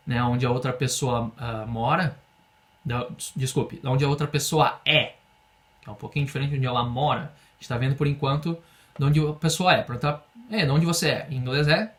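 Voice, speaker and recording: A man's voice: medium pitch, fairly bright tone. Patient Brazilian man teaching English. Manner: patient, explains slowly, drills phrases for repetition. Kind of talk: online language lesson.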